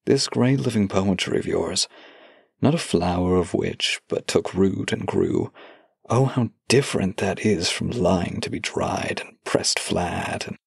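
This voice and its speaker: A man acting a devoted romantic partner in an intimate audio drama, with a gravelly, husky voice, speaking heartfelt words close to the mic. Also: low voice, a little slow, no echo, full character voice. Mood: ardent, earnest. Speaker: voice actor reading love letters. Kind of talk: intimate audio drama, slow heartfelt letter reading and banter.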